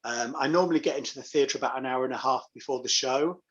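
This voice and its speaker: A man's voice: mid-range voice, fast, fairly bright tone, highs cut off. An English man chatting casually and telling anecdotes as an interview guest, as heard over an online call. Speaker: pantomime dame performer, interview guest. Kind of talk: casual chat.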